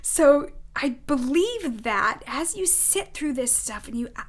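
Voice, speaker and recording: A middle-aged woman with a Rhode Island accent talks viewers through a weekly oracle card reading. Her voice sits a little on the high side, and she sounds earnest and effusive. She sometimes drifts into soft, emphatic phrases. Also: sing-song, unhurried. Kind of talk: informal spiritual guidance monologue.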